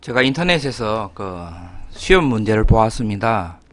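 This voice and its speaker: A middle-aged pastor with a Gyeongsang accent explains his point in a slow, deliberate way, with pauses and soft fillers. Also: lowish voice, sing-song, no room sound. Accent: Gyeongsang dialect. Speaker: pastor, guest. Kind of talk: conversational explanation.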